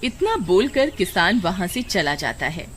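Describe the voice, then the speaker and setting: A woman's voice: fairly low pitch, very animated, unhurried, slight background noise. A woman narrating a scripted children's moral story as the storyteller.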